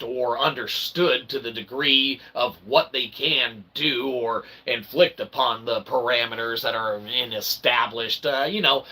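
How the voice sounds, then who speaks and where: A man's voice: mid-range voice, sharp tone, slightly rough, poor audio. A man giving a rambling comedic monologue as a vlogger, with convoluted, mock-intellectual phrasing and frequent tags like okay and eh. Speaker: vlogger, self-published author.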